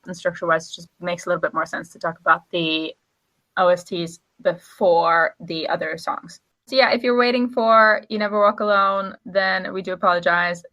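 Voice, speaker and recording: A woman's voice: mid-range voice, pushed and bright, rough recording. A woman in her twenties co-hosting a podcast in a casual, chatty, giggly way. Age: 20s. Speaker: podcast co-host.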